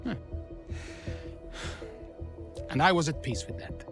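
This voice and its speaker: An actor playing a passionate underdog team boss in a documentary-style game interview, measured, with dramatic pauses. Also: male voice, a little high, lively intonation, music in the background, lightly acted. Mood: reflective.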